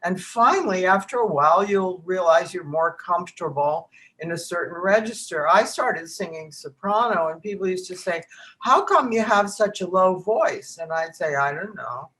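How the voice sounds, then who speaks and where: An older woman with a low voice, a contralto and voice teacher, talking through an interview in a reassuring, teacherly way. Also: animated, normal speed, fairly bright tone, low-quality recording. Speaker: interview guest, contralto and voice mentor. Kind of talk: conversational interview.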